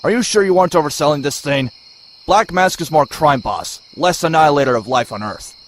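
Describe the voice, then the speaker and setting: A man's voice: on the high side, expressive, brisk, slight background noise, lightly acted. A man voicing a cocky, sardonic young masked vigilante antihero in a motion comic, full of quips. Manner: sardonic, quippy. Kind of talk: motion comic narration and dialogue. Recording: echoey, roomy sound.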